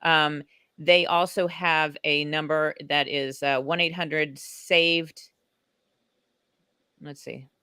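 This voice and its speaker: American lady guest rambling w lots of restarts, bright crisp voice, dry sound. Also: deep voice, fairly even intonation, slow, long pauses, dry recording. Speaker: guest advocate. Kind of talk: casual online chat.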